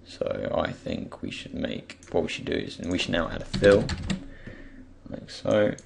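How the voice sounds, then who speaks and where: A man with a croaky, just-woken voice gives an unscripted software tutorial, hesitantly thinking aloud as he goes. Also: low voice, sing-song, unhurried. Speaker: tutorial presenter. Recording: faint background noise, keyboard and mouse clicks.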